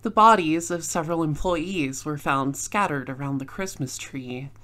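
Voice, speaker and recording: A woman plays a solemn narrator for a mystery audio drama. Her voice is low, and her delivery is measured and serious. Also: a bit bright.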